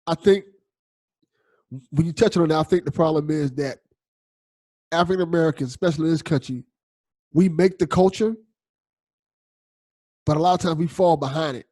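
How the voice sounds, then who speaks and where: A young man hosting a podcast, with a slightly higher voice than most men. He talks in a casual, agreeable and thoughtful way, often circling back and restarting his sentences. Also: normal speed, pauses often, darkish tone. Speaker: podcast host.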